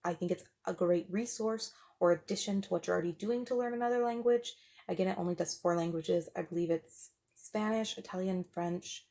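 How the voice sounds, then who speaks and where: A woman's voice: on the low side, brisk, dark tone. A woman giving a casual, explanatory product review as a parent, talking informally and with enthusiasm.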